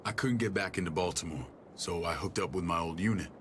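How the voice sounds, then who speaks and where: An actor playing a gruff, commanding military man and protective older brother, blunt and profane, in a cinematic video game. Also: sits low, fast talker, noisy background, close to an everyday voice. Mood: earnest, regretful. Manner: blunt, profane, clipped orders. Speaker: security chief of a survivor settlement.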